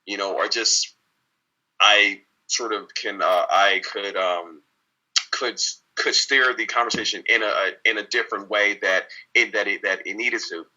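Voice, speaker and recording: A man on a video call answers an interview question in a candid, reflective way, with a low-pitched voice that comes across bright and tense. He often repeats words and restarts his sentences, and he stays earnest throughout. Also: unhurried, lo-fi sound. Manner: talks openly with frequent repeated words and restarts. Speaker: interview guest.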